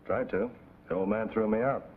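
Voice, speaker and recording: An actor playing a sober, worried undercover agent, earnest and terse, in 1950s TV drama style. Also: male voice, fairly low pitch, fairly even intonation, normal speed, natural voice. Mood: grave. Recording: tinny, noisy 1950s TV soundtrack.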